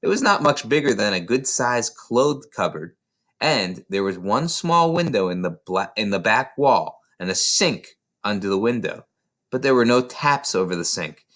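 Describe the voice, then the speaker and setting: A man's voice: lowish voice, lively intonation, normal speed, fairly bright tone. A man reading a children's novel aloud as scripted narration. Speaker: storybook reader.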